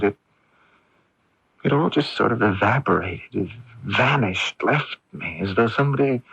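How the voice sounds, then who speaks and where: A muffled AM radio drama recording of a gentle young son. His voice is husky and gravelly, and he speaks haltingly, repeating words as if troubled and unsure of himself. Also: medium pitch, no room sound, full character voice. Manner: hesitant, stammering repeats of words. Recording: band-limited 1980s AM radio broadcast.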